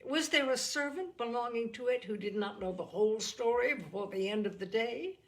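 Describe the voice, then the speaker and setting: A woman's voice: a little high, normal speed, lightly acted. A woman voicing a passionate, caring and indignant young sister, exclaiming emphatically in a storybook reading. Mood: shocked, dismayed.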